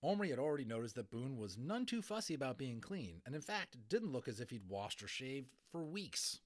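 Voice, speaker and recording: A man reading a children's novel aloud as a dramatic, expressive narrator. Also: mid-range voice, brisk. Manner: expressive, theatrical. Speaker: audiobook reader.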